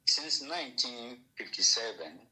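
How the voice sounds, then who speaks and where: A man from Ghana, a guest answering over a remote call line, speaking slowly and deliberately, with stammered repeats. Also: medium pitch, sing-song, bright, forceful tone, husky, rough recording.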